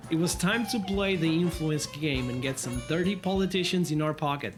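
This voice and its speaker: A man reviewing a video game in a scripted, comedic narration with a wry, tongue-in-cheek manner. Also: fairly high pitch. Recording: music behind the voice. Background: background music.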